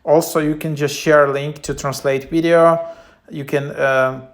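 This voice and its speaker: A man with a slightly high voice presents an app in a very flat, even tone. His delivery is casual and halting, like an unscripted tech vlog walkthrough. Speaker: app review vlogger. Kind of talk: casual app walkthrough, partly reading descriptions aloud.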